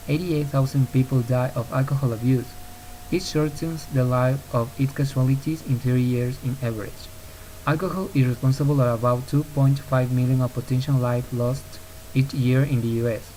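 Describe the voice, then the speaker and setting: Earnest young man from Ecuador, mellow. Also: medium pitch, moderate pace, rough recording. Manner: earnest, steady reading with occasional slips and restarts. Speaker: podcaster. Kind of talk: read-aloud argumentative podcast. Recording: faint hiss on a home recording.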